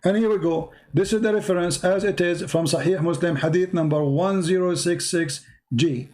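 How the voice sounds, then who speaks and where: Man, insistent, lecturing, repetitive, slightly high voice, mellow tone. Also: moderate pace. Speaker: host. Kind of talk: heated call-in debate.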